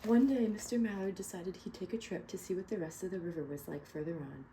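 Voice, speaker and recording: A woman reading a picture book aloud to children, pausing to ask them questions. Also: on the low side, a little hiss or hum. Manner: gentle, asks children questions. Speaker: read-aloud storyteller.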